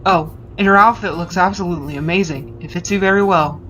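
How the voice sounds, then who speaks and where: A woman's voice: on the low side, brisk, a bit bright, natural voice, low-quality recording. A woman voicing a considerate, curious disembodied voice inside a hero's head in a comic fan dub. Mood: reassuring. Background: music.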